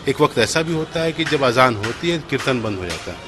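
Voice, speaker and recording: A man's voice: mid-range voice, animated, fairly quick, over music. A middle-aged or older man giving emphatic, explanatory interview answers, dropping in English words. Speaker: mosque committee president.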